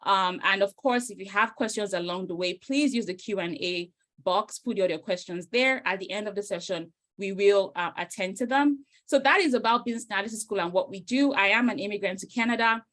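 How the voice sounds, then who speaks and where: A woman's voice: mid-range voice, a little fast, hardly any pauses, fairly bright tone. A middle-aged woman from Nigeria hosting an online information session over a video call, enthusiastic and full of "you know" fillers. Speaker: host, career coach. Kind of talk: webinar introduction.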